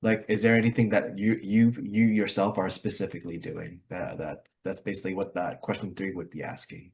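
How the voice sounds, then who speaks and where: A man's voice: low voice, level tone, fairly quick, darkish tone. A man hosting a casual online English practice call, explaining things in a rambling, hedging way. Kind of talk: casual group discussion.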